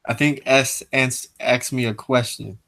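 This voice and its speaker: A man speaking calmly and patiently as a guest in a casual call-in conversation. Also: medium pitch. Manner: calm, patient, measured.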